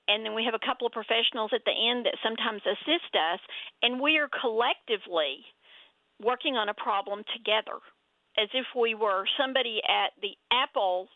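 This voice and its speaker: A woman with a Texas accent, a family lawyer explaining her work in measured answers as an interview guest. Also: a little high, no echo. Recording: tinny phone line.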